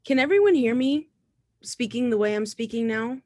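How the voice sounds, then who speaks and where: A woman's voice: fairly high pitch, expressive, normal speed, a little mellow. A woman from the Bahamas giving an informal artist talk in a conversational, explanatory way.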